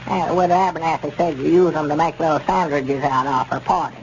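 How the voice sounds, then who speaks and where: An old-time radio recording, muffled and noisy, carries a man playing an older country storekeeper with a high voice. He has a rural Southern drawl and a homespun, plain-spoken way, easily puzzled and prone to grumble. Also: fairly even intonation, fairly quick, lightly acted. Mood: polite, puzzled. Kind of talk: 1930s radio comedy acting.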